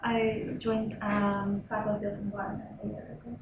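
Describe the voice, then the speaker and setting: Woman with highish voice introducing herself, bit hesitant, far off, noisy room. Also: flat delivery, normal speed. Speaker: research associate. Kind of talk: casual self-introduction. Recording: echoey room, distant, noisy.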